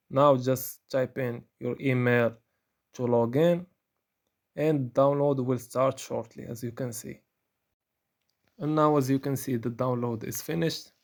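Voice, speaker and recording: A man narrating a step-by-step software tutorial in a plain, instructional manner. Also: mid-range voice, vocal fry.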